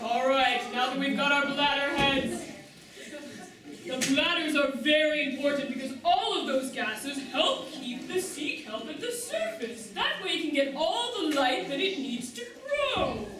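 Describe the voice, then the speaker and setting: High-voiced, echoey manta ray teacher played by a woman. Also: lively intonation, measured pace. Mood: cheerful, instructive. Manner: enthusiastic, encouraging, projecting to children. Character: upbeat manta ray schoolteacher leading young ocean explorers. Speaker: puppet show teacher and presenter. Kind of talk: interactive children's puppet show lesson. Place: large echoey room with live audience. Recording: echoey hall, distant, audience crowd behind.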